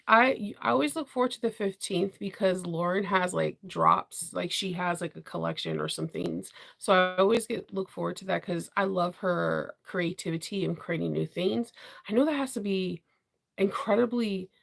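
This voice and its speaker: Congested woman host, chatty, rambling, gravelly edge. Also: lowish voice, expressive, moderate pace, a bit bright. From Washington DC area. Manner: chatty, rambling, laughs often. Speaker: sewing channel host. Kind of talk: casual live chat with viewers.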